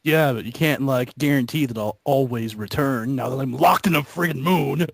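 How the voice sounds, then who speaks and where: A man's voice: mid-range voice, moderate pace, gravelly, breathy edge, no echo, studio quality. A man chatting casually about video games in a hesitant, rambling way. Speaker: member of a gaming video group.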